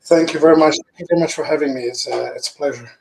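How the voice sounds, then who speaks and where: A man's voice: a little high, very fast, creaky, a crowd in the background. A man from Poland, answering interview questions earnestly, often restarting his phrases as he tells stories. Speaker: interview guest, former pro goalkeeper. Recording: echoey room.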